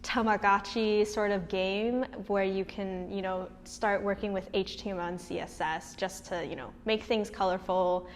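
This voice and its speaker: A woman's voice: mid-range voice, fairly even intonation, moderate pace, hardly any pauses, a little hiss or hum. A young woman from Hawaii speaking in a documentary interview, talking conversationally with many fillers and restarts. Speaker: tech mentor and interviewee. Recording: long reverb.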